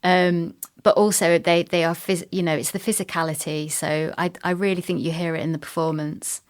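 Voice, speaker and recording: A woman's voice: on the low side, fairly even intonation, normal speed. A middle-aged woman chatting casually in an interview, explaining her work as a radio drama producer in a chatty way. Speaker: radio drama producer and sound designer, podcast guest.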